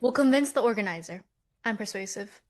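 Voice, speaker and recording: A woman playing a bold, persuasive millennial twin in a comedy table read, brisk and self-assured. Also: mid-range voice, expressive, close to an everyday voice. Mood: confident.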